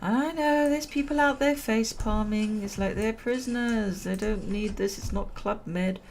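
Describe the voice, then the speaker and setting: Woman streamer, slow and chatty, gravelly voice going hoarse, laughs at her own jokes. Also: medium pitch, darkish tone, slight background noise. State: losing her voice. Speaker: gameplay commentator. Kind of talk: relaxed let's-play commentary.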